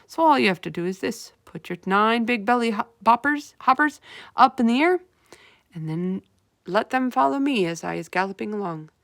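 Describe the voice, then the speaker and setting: A woman's voice: fairly high pitch, animated, moderate pace, vocal fry, a bit bright, no echo, studio quality, voice pushed up. A woman voicing a big, friendly old male giant who mangles his words, read aloud from a storybook. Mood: earnest. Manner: mangled words, folksy, earnest.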